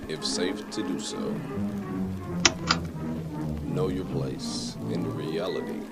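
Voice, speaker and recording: An actor playing an ominous instructional broadcast voice, giving slogan-like public warnings in a deliberate, commanding manner. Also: male voice, sits low. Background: prominent music.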